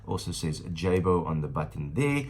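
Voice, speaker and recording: A man's voice: low-pitched, big pitch swings, normal speed, a little mellow, slight background noise. A South African man in his thirties with a stuffy nose from the flu, reviewing a product in a casual, rambling way with many fillers. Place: small room indoors at a table.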